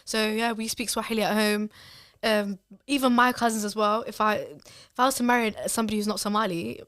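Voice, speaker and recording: A woman's voice: mid-range voice, brisk. A woman chatting expressively as a podcast co-host, her voice in a middle register. The sound is dry and close, with no room echo. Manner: chatty, expressive, laughs easily. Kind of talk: casual chat.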